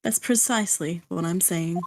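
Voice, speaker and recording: A woman's voice: fairly low pitch, moderate pace, background noise, close to an everyday voice. A woman playing an earnest, easily indignant young female defense attorney in a live dub of a courtroom game. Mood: determined.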